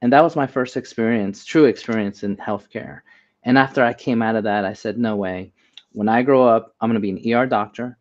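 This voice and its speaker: A man's voice: fairly low pitch, fairly quick. An American man speaking earnestly and conversationally as an interview guest, on dull, lo-fi audio. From United States. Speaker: interview guest, healthcare AI expert and doctor. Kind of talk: conversational interview, advice and personal stories.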